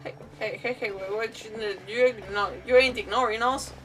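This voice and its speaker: A woman's voice: a little high, fairly even intonation, normal speed, a bit bright, recorded from a distance, poor audio. A woman livestreaming a video game with casual, playful commentary, often muttering to herself and breaking off mid-thought. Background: game music.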